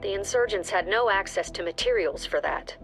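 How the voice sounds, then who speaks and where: A woman playing a stern, composed director of a security agency, giving formal, measured briefings in a video game. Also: mid-range voice, lively intonation, fairly bright tone. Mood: grave, resolute. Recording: music behind the voice.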